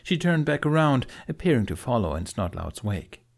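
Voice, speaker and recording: A man's voice: medium pitch, sing-song, normal speed, gravelly. A man reading scripted fiction as an audiobook narrator.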